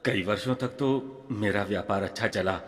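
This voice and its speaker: A man's voice: fairly low pitch, moderate pace, close to an everyday voice. A man playing a humble, downcast village shopkeeper fallen into poverty, in an acted audio storybook. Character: humble, ruined poor village shopkeeper, old friend. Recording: long hall reverb.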